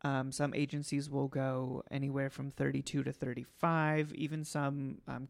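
A man hosting a podcast, slightly hoarse today, explaining things casually with many ums. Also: on the high side. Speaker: podcast host.

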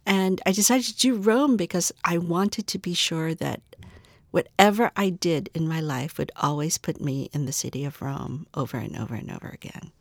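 A middle-aged woman with a notably low voice, speaking thoughtfully and with many small restarts as a guest in an interview. The sound is close and dry, with no room around it. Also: gravelly, no room sound. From Philippines. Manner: thoughtful, repeats words while searching for phrasing. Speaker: museum curator, guest. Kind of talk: reflective interview answers.

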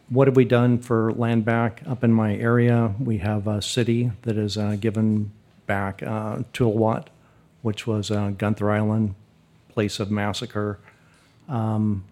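A man with a low, mellow voice talks off the cuff on a panel. His pitch stays very level, and his phrasing is plain and a little halting. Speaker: panelist, state park official. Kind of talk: unscripted panel talk.

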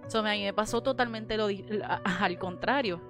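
A woman's voice: medium pitch, brisk, over music. A woman with a Puerto Rican Spanish accent, raised in Miami, talking animatedly in a casual church discussion and dropping in English words. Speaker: panelist.

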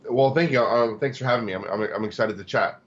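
Halting low-voiced man, lo-fi. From Tacoma, Washington, US. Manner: halting, many fillers and restarts. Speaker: guest, cybersecurity executive. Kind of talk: interview conversation.

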